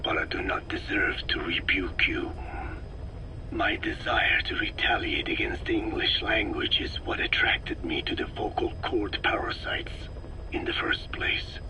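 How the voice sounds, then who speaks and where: Gravelly, whispering old scientist. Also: male voice, deep voice. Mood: sombre, remorseful. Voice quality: gravelly, breathy, whispery rasp. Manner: slow, measured, formal, solemn. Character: grave, learned old man, a parasite scientist. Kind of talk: video game cassette-tape dialogue. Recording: noisy cassette tape recording.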